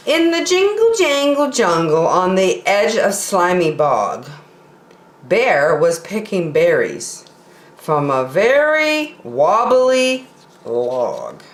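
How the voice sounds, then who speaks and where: A woman reads a children's picture book very slowly in a lowish, highly animated voice. She leaves dramatic pauses between phrases, and the room is echoey. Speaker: children's story time reader. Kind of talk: expressive picture-book reading.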